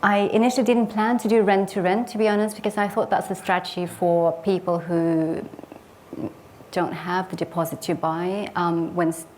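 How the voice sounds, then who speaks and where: A woman's voice: fairly low pitch, level tone, moderate pace, a little mellow, a little hiss or hum. A woman who grew up in Sweden, a property investor, giving a personal case study talk in an earnest, reflective way with frequent hesitant fillers.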